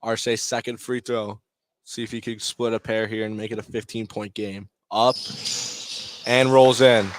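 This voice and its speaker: A man's voice: lowish voice, flat delivery, measured pace, no room sound. A man calling basketball play-by-play for radio in clipped running phrases. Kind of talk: live sports radio commentary. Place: indoor basketball gym. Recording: noisy gym ambience behind. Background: basketball bounces, gym noise.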